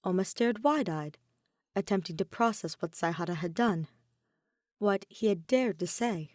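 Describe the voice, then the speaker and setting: A woman's voice: medium pitch, lively intonation, moderate pace, a little mellow, no room sound. Woman narrating fiction, very dry sound. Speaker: podfic narrator. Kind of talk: scripted story narration.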